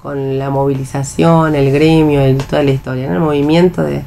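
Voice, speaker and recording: Low-voiced Argentine woman in discussion. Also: steady tone, normal speed, gravelly, dark tone, slight background noise. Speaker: radio show panelist. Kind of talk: conversational round-table discussion.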